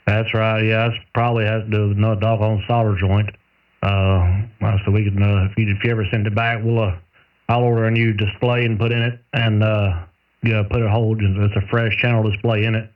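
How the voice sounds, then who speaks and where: A man's voice: fairly low pitch, level tone, a little fast. A man chatting casually as a radio operator, with folksy, rural phrasing. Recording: tinny narrowband radio transmission, far from mic.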